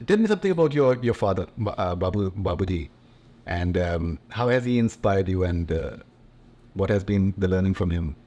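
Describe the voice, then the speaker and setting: A man's voice: deep voice, animated, normal speed, dark tone. A male interviewer asking long, winding questions and switching between English and Hindi. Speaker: interviewer, host.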